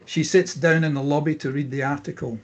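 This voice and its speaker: A man with a husky voice reading scripted stage directions as narrator at a table read. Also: on the high side, steady tone, fairly quick, dull audio.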